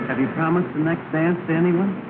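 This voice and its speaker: A man's voice: fairly high pitch, fast, very noisy, natural voice. Man playing an earnest suitor, tinny old film. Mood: hopeful, courting. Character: earnest young suitor at a dance. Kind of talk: early 1930s film romance acting. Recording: tinny 1930 film soundtrack with music.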